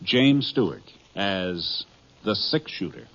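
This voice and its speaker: A man's voice: on the low side, lively intonation, slow pace, noisy background. A man working as a network radio announcer, delivering scripted lines in a formal old-time broadcast style. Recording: dull vintage radio, music behind. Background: music.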